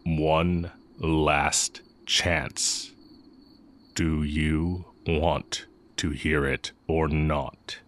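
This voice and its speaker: Man acting as very deep-voiced sly dark magic teacher. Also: little pitch movement, heavily acted. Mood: grave, deliberate. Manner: measured, deliberate, teasing delivery with drawn-out pauses. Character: evil heretic male magic teacher, sly and secretly lovelorn. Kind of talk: romantic audio roleplay acting.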